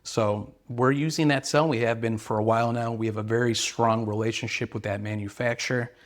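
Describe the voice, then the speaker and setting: A man's voice: mid-range voice, steady tone, brisk. A man, an earnest, sales-minded company representative explaining products and service in interview answers.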